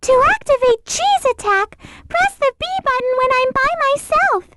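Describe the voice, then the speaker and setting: A woman's voice: very high voice, very animated, normal speed, heavy background noise. A woman voicing a sweet, polite little girl rabbit in a video game, with a small childlike voice and an earnest manner. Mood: earnest, explaining. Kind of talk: video game character voice acting.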